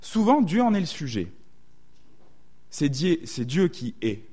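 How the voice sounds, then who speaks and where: A man's voice: fairly high pitch, big pitch swings, unhurried, mellow. A man with a southwestern French accent preaching a sermon, thoughtful and often hesitating to reword his thoughts.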